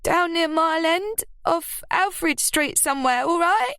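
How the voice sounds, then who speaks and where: A woman reading an audiobook, voicing a brash, self-pitying woman who blusters and quickly loses her temper. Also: very high voice, lively intonation, a bit bright, dry recording, clean studio sound, pitched above the performer's own voice, exaggerated voice. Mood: defensive. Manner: whiny, defensive, blustering.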